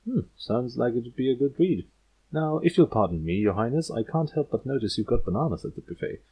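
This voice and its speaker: A man's voice: mid-range voice, lively intonation, warm tone, breathy edge, poor audio. A man voicing a guarded, distracted female pegasus author in a cartoon story, with an offhand manner. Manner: offhand, halting when cornered.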